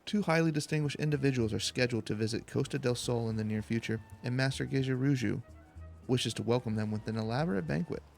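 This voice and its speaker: A man's voice: mid-range voice, fast talker, gravelly, darkish tone, soft background music, lightly acted. A man voicing a haughty, condescending former mercenary captain who hands out errands in formal fantasy game dialogue. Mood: pompous.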